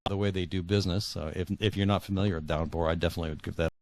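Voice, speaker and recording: A man's voice: sits low, steady tone, racing, darkish tone. A man answering interview questions as an author, conversational and hesitant, often rephrasing as he goes.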